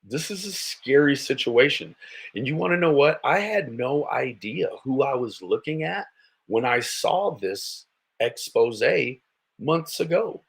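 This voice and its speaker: A man's voice: mid-range voice, animated, a little slow, husky. An American man hosting a casual livestream, giving blunt, mocking, sweary reaction commentary. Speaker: host of a livestream commentary show.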